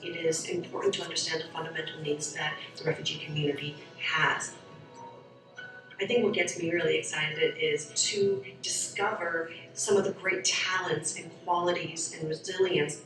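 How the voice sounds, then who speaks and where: A woman's voice: sits low, fairly even intonation, normal speed. Earnest woman, distant echoey sound. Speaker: soccer program founder. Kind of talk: documentary interview. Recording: echoey, from far off, with music.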